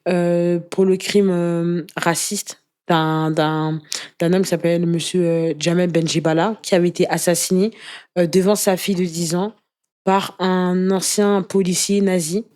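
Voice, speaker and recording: An activist woman speaks as a guest in a relaxed interview. Her voice sits fairly low and has a mellow, warm tone. She talks earnestly about personal commitments, often pausing and repeating small words while searching for phrasing. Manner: halting, searching for words with repetitions. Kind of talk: personal testimony in casual conversation.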